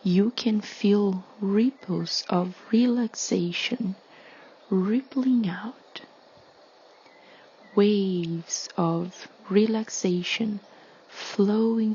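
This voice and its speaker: A woman leading a guided meditation in a soothing, hushed, breathy delivery with long pauses. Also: medium pitch, muffled recording.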